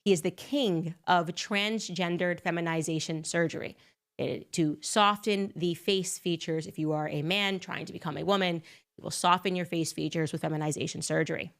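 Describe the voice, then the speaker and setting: Lowish-voiced woman, emphatic commentary host. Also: steady tone, moderate pace, vocal fry. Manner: conversational, emphatic, with rhetorical "okay?" asides. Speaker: podcast host. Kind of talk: casual commentary monologue.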